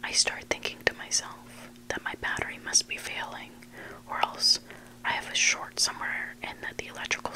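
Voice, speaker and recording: An ASMR host whispering scary stories in a hushed, unvoiced voice, close to the microphone. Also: low-quality recording. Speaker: ASMR host reading listener-submitted stories. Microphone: close microphone made for headphone listening.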